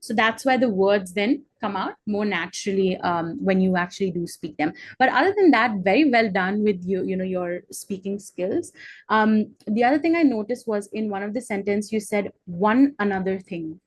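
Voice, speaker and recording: A woman's voice: mid-range voice, expressive, moderate pace, no room sound, rough recording. Dry-sounding woman tutor. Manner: encouraging, many fillers like "you know". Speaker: English tutor. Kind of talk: conversational teaching with feedback. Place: online video call.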